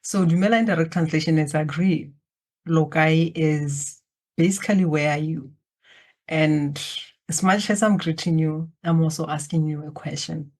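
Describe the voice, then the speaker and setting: A woman from Botswana, a native Setswana speaker, speaking as a podcast guest in a slow, calm, reflective way that addresses the listeners directly. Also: low-pitched. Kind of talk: guided reflective invitation. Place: remote, in Germany.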